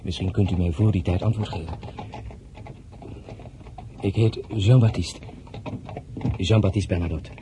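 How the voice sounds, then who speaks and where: A man's voice: deep voice, unhurried, long pauses, background noise. A man playing an earnest, blunt French general of thirty-one in a Dutch drama, courting in a direct, insistent way. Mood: sincere. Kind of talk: Dutch radio drama acting.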